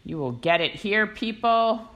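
A woman co-hosting a podcast in casual chat, playful and animated, often reading aloud. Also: mid-range voice, unhurried, muffled recording. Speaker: podcast co-host.

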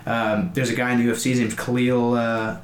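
A man's voice: mid-range voice, flat delivery, a little fast. A man aged twenty in a casual interview chat, talking in a rambling, slangy, enthusiastic way as a fighter. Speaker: amateur MMA fighter being interviewed. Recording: echoey room with faint background noise.

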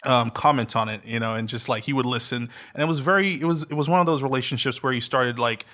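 A man's voice: medium pitch, sharp tone. A man co-hosts a casual podcast. He talks loosely and keeps stopping to restart his sentences, with plenty of fillers as he works out what he means. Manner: halting, with many restarts and fillers. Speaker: podcast host and audio drama producer.

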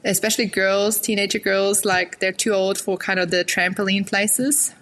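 A woman's voice: mid-range voice, steady tone, moderate pace, background noise. A woman from Germany, a guest on a casual podcast, chatting and explaining in an easygoing conversational way. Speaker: guest, photographer and studio owner.

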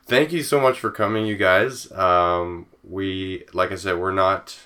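A man's voice: sits low, steady tone, a little slow, pushed and bright. A man hosting a podcast interview in a casual, rambling way, full of fillers and restarts. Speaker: podcast host.

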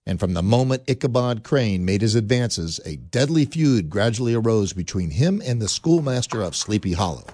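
A man narrating a radio drama in a measured storytelling manner with dry wit. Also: lowish voice, big pitch swings, darkish tone, no room sound.

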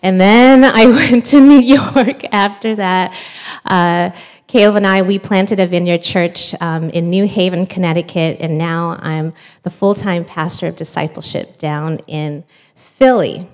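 A woman's voice: on the low side, unhurried. A woman in her thirties from the United States, a pastor telling her faith story in a chatty, storytelling way with fillers and small laughs. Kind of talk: church talk, personal testimony.

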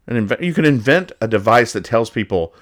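A man hosting a casual, humorous show, giving halting, mock-earnest commentary as he goes. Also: medium pitch, lively intonation. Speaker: host of a comics-reading show.

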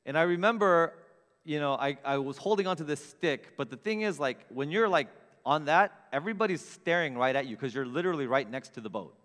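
A middle-aged man preaching a sermon, telling funny stories about himself with big swings in loudness. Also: a little high, fairly quick, in a large hall. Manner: animated, self-mocking storyteller with big swings in loudness. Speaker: pastor. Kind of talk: storytelling sermon. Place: church sanctuary with long reverb.

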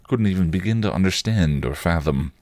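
A cocky, passionate vampire voiced by a man reading a story. He goads and presses insistently in a low, dry-sounding voice with animated swings of pitch. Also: brisk, dry recording. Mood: goading. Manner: provocative, insistent, goading. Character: cocky, taunting, passionate male vampire with British slang.

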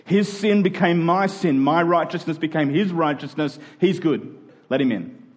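A man's voice: a little high, normal speed. A man preaching a sermon to a congregation in an emphatic style, using rhetorical questions and repetition.

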